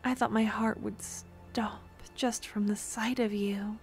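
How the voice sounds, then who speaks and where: A woman voicing an obsessive, lovesick young necromancer stalker in an intimate ASMR roleplay, with a breathy, adoring, possessive murmur that often slips into whispers. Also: medium pitch, fairly even intonation, darkish tone, faint music behind. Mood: tender, obsessive. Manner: adoring, possessive, halting dramatic delivery.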